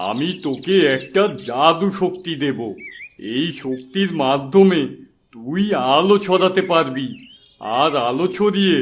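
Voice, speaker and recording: Man as a higher-pitched, kindly holy man. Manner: kindly, instructive. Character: benevolent wandering holy man granting magic powers. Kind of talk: Bengali cartoon story acting. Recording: music under the voice.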